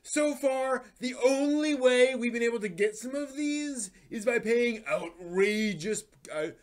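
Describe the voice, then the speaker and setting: A man's voice: very high voice, expressive, unhurried, pitched above the performer's own voice. A man playing an indignant, aggrieved anime fan in a theatrical dramatic reading, drawing out words for emphasis. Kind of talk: mock dramatic reading of a forum post.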